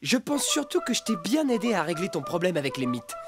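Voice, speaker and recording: An actor in a French cartoon dub voicing a clever, brisk, bossy fox who poses as a pet dog. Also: medium pitch, big pitch swings. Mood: confident. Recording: background music over the dialogue.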